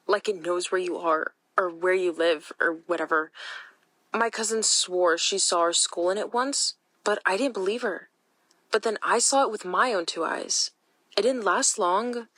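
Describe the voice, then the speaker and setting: A woman's voice: medium pitch, a bit bright, no echo, close to an everyday voice. An actress playing an earnest, uneasy teenage girl eyewitness, slangy and insistent in an interview. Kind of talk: interview in an audio drama.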